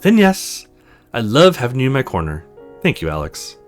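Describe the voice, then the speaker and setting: A man voicing a warm, excitable, teasing young sister in a visual novel, playful and eager. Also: mid-range voice, normal speed, soft background music. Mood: affectionate, playful.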